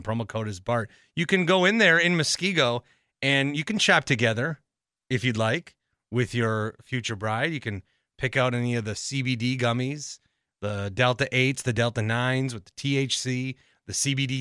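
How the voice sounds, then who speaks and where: Man, American accent, podcast host, bright crisp voice, dry studio-tight sound, casual, rambling, conversational. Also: medium pitch, moderate pace, no echo. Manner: conversational, rambling, thinking aloud. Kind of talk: casual solo podcast talk with ad reads.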